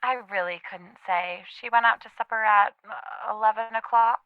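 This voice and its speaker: A woman plays a brisk hospital receptionist in an old radio drama. Her voice is smooth and crisp, formal and unhelpfully polite, squeezed through a thin, dry telephone line. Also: fairly high pitch, steady tone, dry recording. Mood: polite, official. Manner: formal, official, politely dismissive. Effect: telephone filter.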